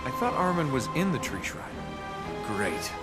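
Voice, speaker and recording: A man's voice: mid-range voice, very animated, moderate pace, lightly acted. An actor playing a cocky, wisecracking young male adventurer in a video game, with a sardonic, bantering manner. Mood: wry, resigned. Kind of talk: video game cutscene voice acting. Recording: music behind the dialogue. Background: game score.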